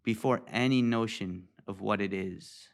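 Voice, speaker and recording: A man leading a guided meditation as a teacher, speaking in a calm, deliberate way with pauses. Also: fairly low pitch, creaky.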